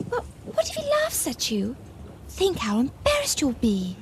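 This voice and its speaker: A woman's voice: high-pitched, huge pitch swings, measured pace, heavily acted. An actress playing a cautious, fretting young woman, a worried confidante in a radio drama. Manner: fretful, cautioning. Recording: noisy 1980 radio drama recording.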